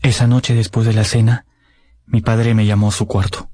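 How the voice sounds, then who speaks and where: A man's voice: fairly low pitch, level tone, normal speed, darkish tone, natural voice. An actor playing a tender, melancholy young man in love, narrating in a radio drama.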